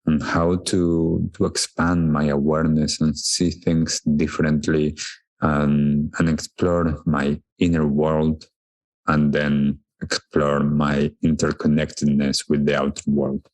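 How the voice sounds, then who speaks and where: A man from Argentina, a podcast guest, telling his story in a calm, measured and thoughtful way. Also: rumbling low, dry recording. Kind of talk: reflective conversational storytelling.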